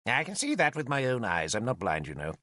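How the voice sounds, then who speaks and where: A man's voice: mid-range voice, wildly swooping pitch, fairly quick, bright, forceful tone, dry recording. A male narrator voicing an old, vain and cantankerous wizard who mocks and lectures in a sardonic way. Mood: teasing. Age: older. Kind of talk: audiobook character voice.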